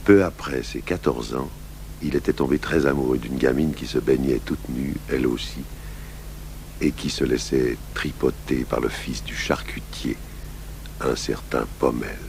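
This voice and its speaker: An actor playing a calm, patient, fatherly police detective in a French-language radio drama, speaking with measured courtesy. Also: a bass voice, creaky, full character voice. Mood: reflective. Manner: measured, courteous questioning and recounting. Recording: humming, noisy old radio tape.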